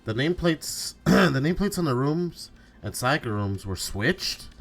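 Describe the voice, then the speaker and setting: A man's voice: a little high, very animated, unhurried, gravelly, pitched above the performer's own voice, full character voice. A man voicing an earnest, anxious teenage schoolboy in amateur visual novel dialogue, hesitant and prone to stammering. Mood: puzzled, pensive. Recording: music behind.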